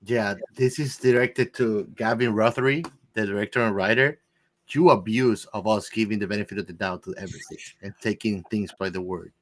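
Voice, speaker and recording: A man's voice: fairly low pitch, steady tone, brisk, poor audio. A man co-hosting a podcast, talking casually about a film. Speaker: podcast co-host. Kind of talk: casual movie discussion.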